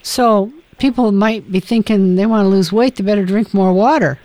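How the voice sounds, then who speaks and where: A woman's voice: mid-range voice, normal speed, a little mellow, no room sound. A woman co-hosts a radio talk program, steering the conversation with her questions. Her mid-range voice sounds dry and close. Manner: inquisitive, leads with questions. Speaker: co-host. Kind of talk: radio interview.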